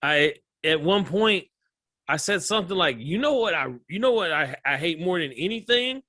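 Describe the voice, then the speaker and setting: A man with a Southern drawl tells his stories with energy, laughing as he goes and acting out the people in them. His voice is bright and forceful and sits a little high. The sound is close and dry. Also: normal speed, no room sound. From American South. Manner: animated storyteller, laughs often, acts out voices. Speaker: comedian guest. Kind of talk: casual interview chat.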